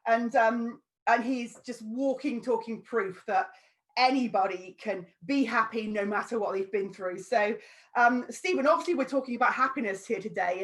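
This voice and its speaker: A woman from the UK hosting an interview, effusive in her praise and leaning on fillers like um and you know. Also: on the high side. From United Kingdom. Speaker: host.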